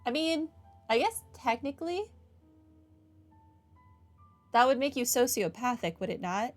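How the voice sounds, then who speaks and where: A woman's voice: on the high side, animated, measured pace. A woman gaming host commenting on a playthrough in a musing, conversational, opinionated way. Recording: game music behind the voice. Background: game music.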